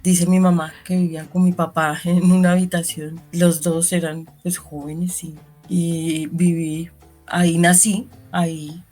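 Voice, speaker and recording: A woman with a Bogotá accent recounts her childhood as an interview guest. She speaks in a lowish voice, circling back and restarting her thoughts as she goes. Faint music plays under her. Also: little pitch movement, measured pace, warm tone. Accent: Bogotá Spanish. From Colombia. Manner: reflective storyteller, many restarts and fillers. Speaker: interview guest, community educator. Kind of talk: life-story interview.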